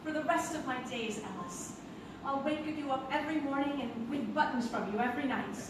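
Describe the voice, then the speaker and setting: Woman as a high-voiced, devoted young sweetheart. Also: fairly quick, lightly acted. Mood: tender. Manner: earnest, French-sprinkled speech. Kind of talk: live stage radio-play acting. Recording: echoey theater, distant mic, noisy.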